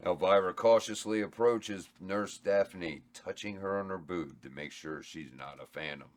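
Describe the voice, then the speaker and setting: A man reading stage directions aloud at a script table read, plain and steady. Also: low voice, a little slow.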